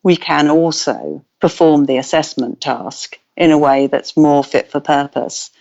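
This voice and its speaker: A woman researcher giving a measured, thoughtful explanation in an interview. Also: low voice, steady tone, vocal fry, darkish tone. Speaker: researcher guest. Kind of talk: interview answer. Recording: dull lo-fi audio.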